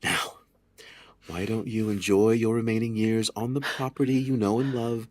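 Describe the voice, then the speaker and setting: An actor playing a smooth, condescending estate lawyer in an audio drama, with precise, formal, patronizing speech. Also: male voice, fairly low pitch, fairly even intonation, moderate pace, a little mellow, dry recording, studio quality, lightly acted. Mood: smug, reassuring. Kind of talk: audio drama acting, period dialogue.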